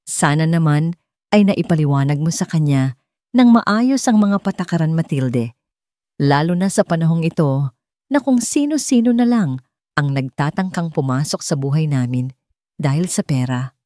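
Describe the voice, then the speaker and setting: A woman's voice: sits low, lively intonation, normal speed, warm tone, no room sound, studio quality. A woman as a haughty old rich matriarch, cutting. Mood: cold disdain. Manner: cutting, imperious, pointed.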